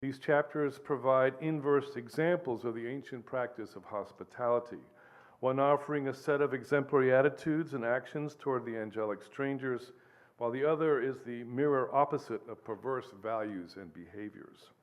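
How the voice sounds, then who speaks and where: A man in his sixties, raised between the United States and Guatemala, reading an academic paper at a podium in a measured way. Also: medium pitch, muffled recording. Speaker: lecturer.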